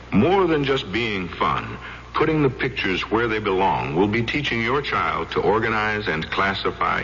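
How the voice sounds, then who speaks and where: A man's voice: fairly low pitch, sharp tone. An American man reading a scripted public service announcement for radio as an announcer. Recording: muffled old radio, faint noise.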